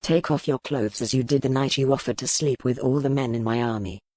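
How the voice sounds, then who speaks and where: An actor playing a stern, brooding medieval duke in an audiobook, with a commanding, formal manner. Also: male voice, mid-range voice, brisk, a little mellow, no echo, lightly acted. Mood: taunting, commanding. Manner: commanding, formal period speech. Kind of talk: dramatic audiobook character acting.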